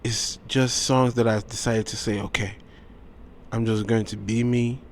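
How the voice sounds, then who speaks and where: A man who is a guest musician, chatting casually in an interview, animated and repeating phrases for emphasis. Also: mid-range voice, unhurried. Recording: faint background noise.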